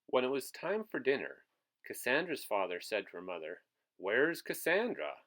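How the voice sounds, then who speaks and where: Expressive man reading a children's storybook. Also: medium pitch, brisk. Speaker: kindergarten teacher reading a picture book aloud. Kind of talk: children's storybook read-aloud with asides to listeners.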